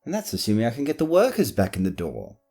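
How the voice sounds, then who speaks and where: A man's voice: medium pitch, huge pitch swings, fast, dark tone, faint music behind. An actor playing a cowardly, greedy middle-aged male noble who frets and stammers, then breaks into panicked pleading in a fantasy audio drama. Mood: fretful.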